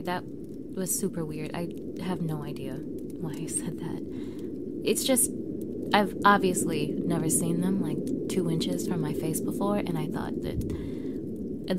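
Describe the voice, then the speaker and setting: A young woman playing a defensive mean girl with a vulnerable side in an intimate ASMR roleplay, halting and often dropping to a breathy near-whisper. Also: lowish voice. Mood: sheepish, softening. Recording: clearly audible background noise bed.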